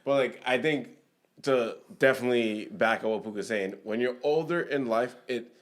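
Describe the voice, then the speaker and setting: A young man co-hosting a podcast, chatting casually, halting and restarting as he talks. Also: medium pitch. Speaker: podcast co-host. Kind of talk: casual chat.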